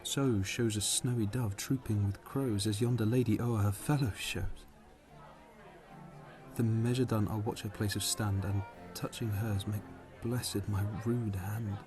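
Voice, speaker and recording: A man's voice: on the low side, steady tone, measured pace, close to an everyday voice. Man acting a lovestruck young Shakespearean nobleman over music. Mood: enraptured. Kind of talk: Shakespearean verse audio drama. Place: home recording. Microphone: phone.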